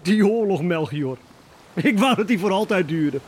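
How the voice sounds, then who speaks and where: A man's voice: high voice, animated, moderate pace, darkish tone, a little hiss or hum. An actor playing a calculating, profit-hungry seafaring man in a historical radio play. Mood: cool, greedy.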